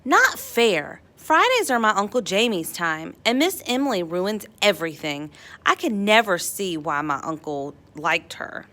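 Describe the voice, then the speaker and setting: A woman narrates a picture book for children in a bright, forward voice. Her pitch moves in lively swings, and she leans into key words, stretching some out playfully for dramatic effect. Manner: animated, dramatic emphasis, playful drawn-out words. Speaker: storybook read-aloud narrator. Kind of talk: expressive children's picture book read-aloud.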